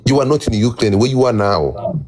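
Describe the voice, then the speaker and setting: A man's voice: mid-range voice, brisk, darkish tone. A man hosting a livestream in casual banter, teasing his guest and switching between languages. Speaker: livestream host.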